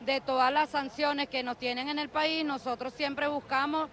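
A woman with a high, bright voice speaks steadily and earnestly in an interview. Her tone has a sharp, forceful edge. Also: little pitch movement, moderate pace. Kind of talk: interview soundbite.

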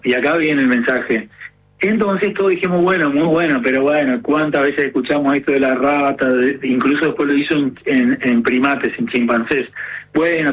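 Argentine man, gerontologist guest, earnest explainer, slightly husky, muffled radio sound. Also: medium pitch. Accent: Argentine Spanish. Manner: earnest, explaining step by step with many fillers.

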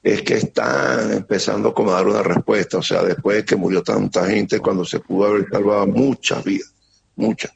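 A middle-aged man with a Venezuelan accent giving an earnest, halting account as an interviewee, often repeating words. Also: fairly low pitch, creaky. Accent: Venezuelan Spanish. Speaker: interviewee, security operator. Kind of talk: emotional testimony on a remote interview. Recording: muffled lo-fi line with faint noise.

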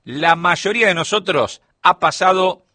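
A man's voice: sits high, a little slow, bright, forceful tone, no echo. A middle-aged man with a Rioplatense Spanish accent, explaining a topic as a radio columnist in a studio chat. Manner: explanatory, storytelling delivery.

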